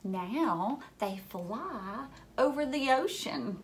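A woman's voice: on the high side, noisy background. A woman in her thirties reading a storybook aloud to young children like a teacher, expressively, with questions and little asides. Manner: expressive, drawn-out storybook delivery. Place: furnished living room at home, indoors.

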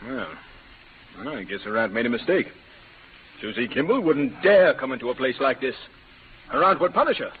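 Man playing stern frontier sheep rancher, righteous and formal, mid-pitched, muffled vintage radio western. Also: lively intonation, moderate pace, long pauses, slight background noise, natural voice. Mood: indignant. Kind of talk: 1940s-50s radio western acting.

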